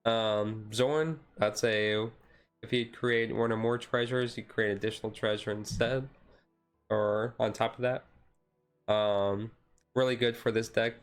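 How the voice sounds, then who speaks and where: A man giving casual commentary on a card deck as a streamer, thinking aloud in a halting way. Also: fairly low pitch, clear, resonant tone.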